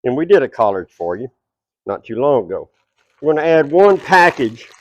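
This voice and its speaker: A man's voice: mid-range voice, big pitch swings, moderate pace. A middle-aged man with a Texas twang, hosting a cooking show at an outdoor backyard table and chatting straight to his viewers.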